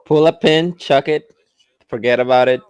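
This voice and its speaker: A man's voice: mid-range voice, moderate pace, clear, resonant tone, dry recording. A man speaking casually as a player in a tabletop role-playing game.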